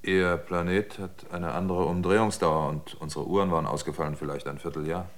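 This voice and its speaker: A man playing a wary, solitary survivor in a radio drama, speaking in a measured, matter-of-fact, brooding way. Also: low-pitched, steady tone, lightly acted. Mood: resigned, sober. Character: wary, sober male survivor keeping a solitary log. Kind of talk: German radio drama monologue and dialogue.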